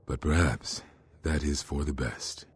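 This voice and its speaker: A man playing a somber, reflective Jedi master in a video game, with a husky dark voice and deliberate delivery. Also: rumbling low, fairly even intonation, slight background noise. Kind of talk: video game dramatic acting.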